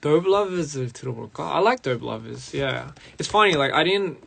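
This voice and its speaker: A young man with a raspy, gravelly voice, worn hoarse from a recording session. He talks fast and loosely, rambling with plenty of fillers as he chats to viewers on a live stream. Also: fairly high pitch, animated, a bit bright, slight background noise. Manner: rambling, switching between English and Korean, frequent fillers. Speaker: musician hosting a live stream. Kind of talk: casual live-stream chat.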